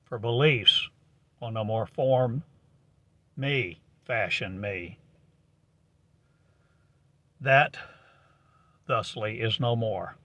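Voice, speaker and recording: A man giving a prophetic monologue in slow, halting declamation with archaic phrasing, on a dull lo-fi recording. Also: mid-range voice, sharp tone, slight background noise.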